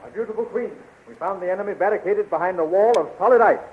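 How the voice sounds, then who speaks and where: An actor playing a bold, gallant young prince and commander with declamatory delivery in a 1930s radio serial. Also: male voice, high-pitched, quick pace, phone-quality sound, lightly acted. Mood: urgent, gallant. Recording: thin, noisy 1930s radio recording.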